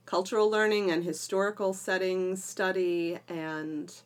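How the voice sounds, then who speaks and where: A woman's voice: fairly low pitch, flat delivery, measured pace. A middle-aged woman talking conversationally as a podcast co-host, explaining things with emphatic phrasing. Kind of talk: conversational educational podcast discussion.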